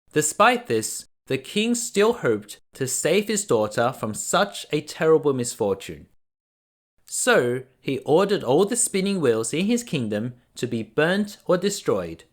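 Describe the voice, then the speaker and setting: Slow, expressive male storyteller. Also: mid-range voice. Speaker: storyteller, dad reading to children. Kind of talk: scripted bedtime story narration.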